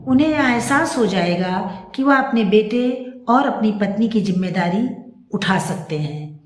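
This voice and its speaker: A woman voicing a wronged, resolute wife and mother in a grave, measured, earnest manner for a story. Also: mid-range voice, expressive, a little hiss or hum, close to an everyday voice. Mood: pleading. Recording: echoey room.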